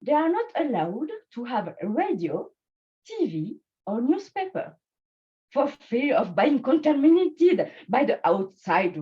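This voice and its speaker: A woman born in Bangladesh and raised in France, with a French and Swiss French accent and French as her first language, giving a prepared personal speech at a speaking club in slow, deliberate phrases with pauses. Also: high voice, breathy edge, dull audio.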